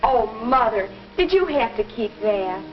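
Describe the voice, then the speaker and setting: Vintage 1950s film sound, slightly muffled, curious teenage daughter, American, earnest, girlish, highish pitch. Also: sing-song, measured pace, soft background music. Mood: eager, curious. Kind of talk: 1950s instructional film acting.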